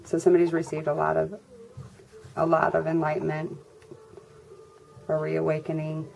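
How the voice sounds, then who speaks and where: A congested woman giving a casual tarot reading to her viewers in a flat, monotone way. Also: normal speed, vocal fry. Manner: monotone, low-energy delivery. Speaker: tarot reader. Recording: noisy recording with birds chirping. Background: birds and background noise.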